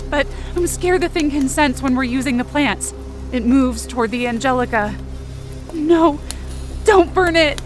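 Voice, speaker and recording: A high, girlish voice acted by a woman tells a horror audio drama in the first person, frightened and breathy. The delivery is tense and emotional, with music clearly playing behind it. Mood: frightened dread.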